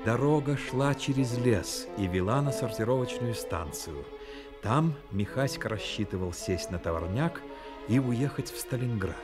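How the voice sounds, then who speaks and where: A man narrating a radio play as a grave storyteller, in a measured, dramatic way. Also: medium pitch, animated, loud music behind the voice, natural voice. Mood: grave, reflective.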